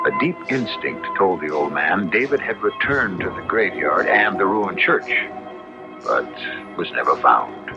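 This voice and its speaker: Very deep, gravelly radio host. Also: male voice, very animated, highs cut off. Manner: measured, portentous, formal. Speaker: host and narrator. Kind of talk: old-time radio scripted narration. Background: music.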